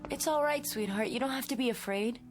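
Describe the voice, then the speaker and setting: An actress playing a kind, slightly uneasy schoolteacher in her twenties in a radio drama, talking in a gentle, coaxing way. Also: a little high, brisk, natural voice. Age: young adult. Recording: radio drama with prominent music underneath.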